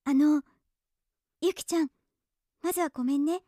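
A woman acting an earnest, gentle teenage girl who paints, speaking softly and sincerely in a Japanese radio drama. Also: high-pitched, fairly even intonation, normal speed, no room sound, natural voice. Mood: nervous, apologetic. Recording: studio drama with music underneath.

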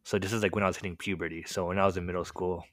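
A young man from the United States, whose first language was Korean, telling his childhood story in a relaxed, rambling way, full of "like" and "um". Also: sits low, rapid-fire, dry recording, studio quality. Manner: relaxed, rambling, heavy use of "like" and "um" fillers. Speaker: podcast guest telling his life story. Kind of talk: casual storytelling chat.

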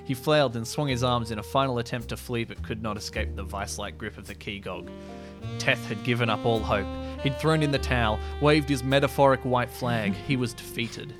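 A man's voice: medium pitch, a little fast, over music. A man hosting a podcast, reading his own sci-fi story in a mock-dramatic, self-amused way and chatting casually. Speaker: podcast host and amateur story writer.

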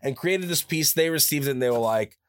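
A man chatting casually in an interview as a voice actor, telling stories in a rambling, animated way and often repeating himself. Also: brisk. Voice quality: higher-pitched natural voice. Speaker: voice actor guest. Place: his own studio. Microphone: studio microphone, close.

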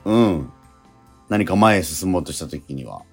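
A man co-hosting a radio show in casual chat, reflective and halting, with frequent murmured interjections. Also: low-pitched, animated, darkish tone, husky. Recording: music behind the voice. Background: background music.

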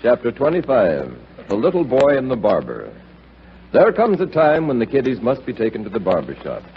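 A man's voice: medium pitch, huge pitch swings, normal speed. A man narrating as the announcer of a 1940s radio comedy sketch. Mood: droll, narrating. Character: storytelling announcer introducing comic sketch. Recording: dull old radio broadcast with music.

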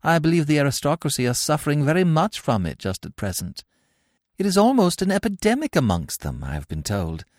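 A man voicing a sharp-tongued young country girl who speaks with falsely sweet, barbed politeness. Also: a little high, huge pitch swings, a little fast, dry recording, studio quality, voice pushed up. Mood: sweetly cutting.

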